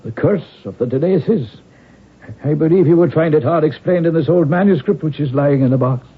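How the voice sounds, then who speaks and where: An actor playing a loyal, anxious old family servant in a radio drama, husky and earnest. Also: male voice, a little high, a little fast. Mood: solemn, earnest. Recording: muffled narrow-band radio broadcast recording.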